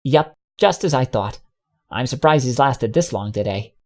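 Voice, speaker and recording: A man's voice: medium pitch, lively intonation, moderate pace, lightly acted. A man putting on a brash folksy pony mare. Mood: blunt teasing. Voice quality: folksy, blunt, teasing drawl.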